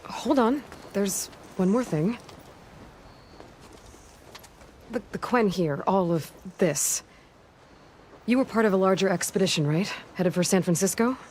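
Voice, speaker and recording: An actress playing a determined, pragmatic young woman adventurer in a video game cutscene, measured and matter-of-fact. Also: medium pitch, animated, darkish tone, a little hiss or hum, close to an everyday voice. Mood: careful, tactful.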